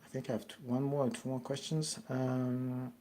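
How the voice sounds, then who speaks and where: A man's voice: mid-range voice, flat delivery, normal speed, mellow. A young man from France with a French accent, answering viewer questions in a casual monologue, with many fillers and restarts. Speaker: network marketing creator answering questions.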